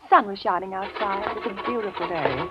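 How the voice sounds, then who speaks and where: An actress playing a well-to-do, unnerved woman recounting a disturbing ordeal, in dramatic, deliberate 1940s radio style. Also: mid-range voice, noisy background, natural voice. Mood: subdued, uneasy. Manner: dramatic, deliberate, emphatic repetition. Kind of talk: 1940s radio acting, first-person suspense narration. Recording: muffled vintage radio recording, music behind.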